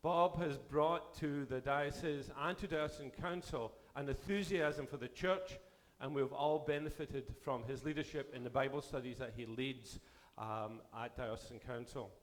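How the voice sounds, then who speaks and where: A man serving as bishop, delivering a formal address to a church assembly while losing his voice, with a measured pace and sentences that trail off. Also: mid-range voice. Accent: Canadian.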